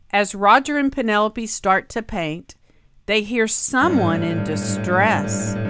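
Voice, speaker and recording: A woman reading a rhyming storybook aloud for children in an expressive, sing-song way. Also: medium pitch. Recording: music playing behind the voice. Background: clear background music.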